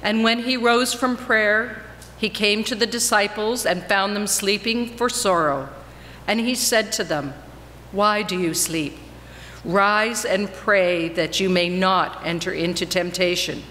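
A woman reads scripture solemnly and deliberately in a bright, forceful voice of middling pitch. Her words ring through a long church reverb, with faint noise behind. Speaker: reader in a church Passion reading. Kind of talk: solemn dramatic scripture reading. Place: church, before a congregation.

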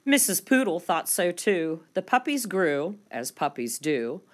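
A woman reading a children's picture book aloud as storyteller, expressive and deliberate, drawing out key words for young listeners. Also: lowish voice.

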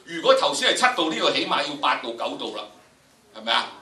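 Older Hong Kong man lecturing, echoey and forceful. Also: medium pitch, big pitch swings, quick pace. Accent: Hong Kong Cantonese. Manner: theatrical, emphatic, addressing students directly. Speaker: drama teacher giving a training workshop. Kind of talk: lecture with dramatic recitation demonstrations. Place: large lecture room, indoors. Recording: echoey lecture hall, distant, noisy.

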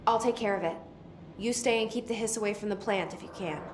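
A woman's voice: medium pitch, fast talker, a little hiss or hum, close to an everyday voice. A woman voicing a guarded young woman, a new director, speaking tersely and dryly. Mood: firm. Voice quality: dry, terse; whispered inner thoughts. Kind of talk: video game cutscene.

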